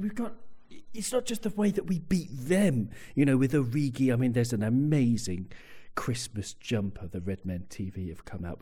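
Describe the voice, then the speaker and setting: Mellow-voiced man with wry humor. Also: on the low side, slightly rough. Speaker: podcast co-host. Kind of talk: casual football chat.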